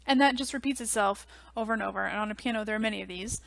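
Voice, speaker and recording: A young woman teaching music theory in a relaxed, chatty way, full of ums and likes, on a headset mic in a small office. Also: medium pitch, rapid-fire, vocal fry. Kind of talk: casual online lecture.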